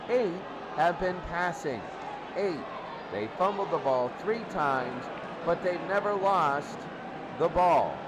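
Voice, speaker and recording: Higher-voiced male sports commentator, very noisy background. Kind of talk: unscripted statistics rundown before a game.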